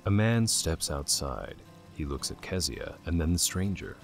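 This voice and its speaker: A man's voice: rumbling low, big pitch swings, a little slow, gravelly, faint music behind. A man narrating a scripted dramatic story as a storyteller.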